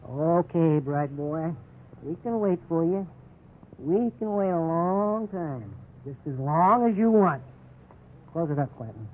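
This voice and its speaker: A man's voice: a little high, expressive, slow pace. An actor playing a cocksure, bullying veteran policeman in a 1950s radio drama, with a sarcastic, domineering manner. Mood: sneering, menacing. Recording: tinny, noisy old radio recording.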